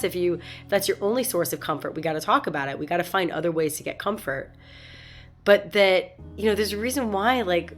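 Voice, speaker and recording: A woman with a head cold talks straight to camera in a low, slightly stuffy voice. She is frank and animated, full of fillers and restarts. Faint music plays underneath. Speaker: vlogger. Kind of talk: casual talk to camera.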